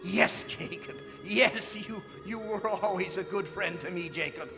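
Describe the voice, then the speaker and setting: Stammering old miser for a radio play, really high voice, slow, blustery and scared. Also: male voice, big-room sound, music in the background. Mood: nervous, wheedling. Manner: stammering, halting, theatrical. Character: stingy, frightened old miser, blustering then pleading. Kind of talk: stage radio drama acting.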